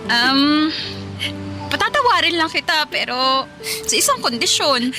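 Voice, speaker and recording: A bubbly, teasing younger sister in a radio drama. Her girlish voice is extremely high and lively in its swings, affectionate and perky, with music behind. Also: measured pace. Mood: gentle. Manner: perky, animated. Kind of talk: Tagalog radio drama acting.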